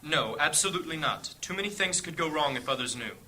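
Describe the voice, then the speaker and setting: A man as a solemn, commanding high churchman. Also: animated, lightly acted. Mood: urgent, commanding. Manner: grave, formal, measured. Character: solemn high-ranking male church leader, commanding and urgent. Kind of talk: fantasy audio drama acting. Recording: clear background ambience noise.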